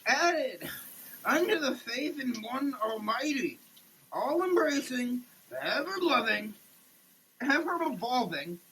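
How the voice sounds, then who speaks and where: A person giving a dramatic, declamatory reading in a halting way, often repeating words. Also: sits high, very animated, fairly bright tone. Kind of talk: dramatic, theatrical reading of a product label. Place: in a running shower. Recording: noisy wet shower recording.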